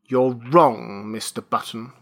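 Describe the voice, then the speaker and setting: A man's voice: fairly high pitch, very animated, slow, fairly bright tone, voice pushed up, heavily acted. A man voicing a stern, prim, bossy female nurse in a storybook reading, with a severe, commanding manner.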